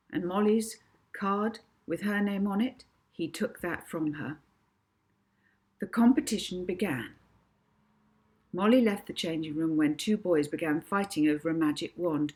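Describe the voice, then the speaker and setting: A woman reading a children's book aloud as an expressive narrator. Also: lowish voice. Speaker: author reading own book.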